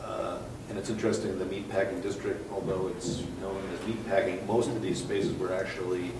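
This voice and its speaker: Low, flat-voiced architect in an echoey room. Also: male voice, far from the mic. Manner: halting, many fillers and restarts. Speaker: architect presenting a project. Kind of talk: public hearing presentation. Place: large conference room. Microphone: far room mic. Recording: echoey room from afar, background noise.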